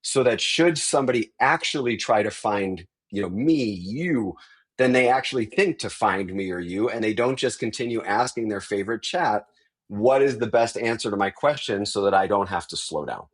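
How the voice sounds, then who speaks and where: A man's voice: on the low side, animated, normal speed, breathy edge. A man who is a guest on a tech podcast, explaining industry shifts in a thoughtful, conversational way. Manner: thoughtful, explanatory, with frequent restarts.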